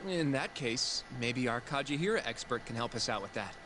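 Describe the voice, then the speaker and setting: An earnest young male sidekick from a video game, played by a man. He is polite, modest and slightly hesitant, with a medium pitch. Clear background noise runs under the voice. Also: animated, normal speed, lightly acted. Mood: curious.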